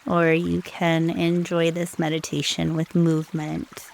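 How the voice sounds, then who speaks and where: A woman leading a guided meditation in a soothing, unhurried way, leaving long pauses between short phrases. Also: deep voice, completely flat delivery, gravelly, a little mellow, slight background noise.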